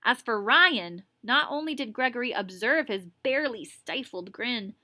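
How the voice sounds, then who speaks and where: A woman's voice: fairly high pitch, normal speed. A woman teacher reading a story aloud expressively, stopping for chatty asides to explain and comment. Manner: animated, explains words and comments as she reads.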